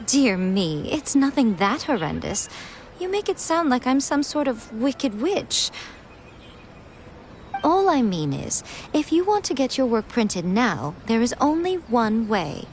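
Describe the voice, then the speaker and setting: A woman's voice: on the high side, sing-song, unhurried, a little hiss or hum. Sly, lilting lady publisher. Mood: teasing. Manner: playful, coy, self-assured. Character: sly, teasing lady publisher and shrine guardian. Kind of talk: video game voice acting.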